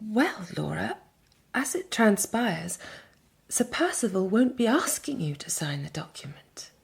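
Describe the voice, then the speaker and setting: A determined Victorian gentlewoman with an English accent. Her voice sits at a medium pitch with a slightly breathy, husky edge. She speaks in articulate, formal sentences, as a protective sister in a radio play. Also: sing-song, a little slow, heavily acted. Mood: earnest, conspiratorial. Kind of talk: radio drama acting with first-person narration.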